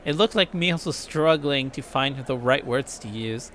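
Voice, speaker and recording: A man's voice: mid-range voice, a little slow, a bit bright, a little hiss or hum. A young American man hosting a let's play, reading game narration with irreverent, casual commentary. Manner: irreverent, swearing.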